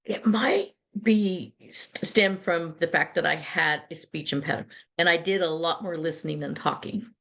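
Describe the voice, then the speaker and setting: Middle-aged woman guest, low gravelly voice, chatty storyteller. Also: moderate pace, fairly bright tone. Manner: chatty, many restarts and asides. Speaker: interview guest, PR agency founder. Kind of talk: casual interview storytelling.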